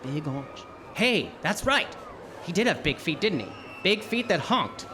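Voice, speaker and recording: An actor playing a wry, hardboiled private detective in an old-time radio play, with clipped noir delivery. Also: male voice, sits high, very animated, a little slow, a crowd in the background, background noise. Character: wry, hardboiled male private detective, narrating his case. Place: live theatre stage.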